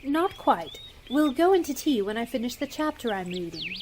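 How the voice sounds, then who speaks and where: A woman playing a sensible, mildly dismissive older sister in an old-time radio play, gently scolding. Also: on the high side, normal speed, a little hiss or hum. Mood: calm, dismissive. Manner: calm, patient, gently scolding.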